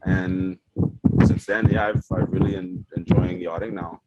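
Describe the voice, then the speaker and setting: Deep-voiced man from the Bahamas. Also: unhurried, dull audio, heavy background noise. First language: German. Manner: relaxed, rambling with many ums and you-knows. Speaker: chief engineer on a yacht. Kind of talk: casual interview answers.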